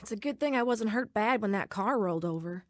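A woman's voice: mid-range voice, animated, a little fast, no echo, studio quality, soft background music, lightly acted. An actress playing an irritable, demanding young girlfriend in a radio drama. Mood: testy.

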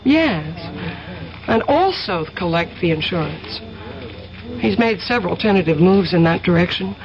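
A woman's voice: fairly low pitch, expressive, unhurried. Worldly, confiding lady. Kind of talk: 1970s radio drama acting. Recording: dull vintage radio recording.

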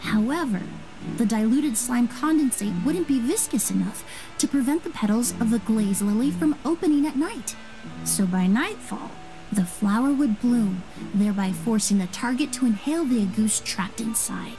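An actress voicing a composed young woman sleuth in a game, explaining things in a measured, precise way. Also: fairly high pitch, animated, poor audio. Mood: composed, explanatory. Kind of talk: scripted game dialogue. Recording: music behind the voice.

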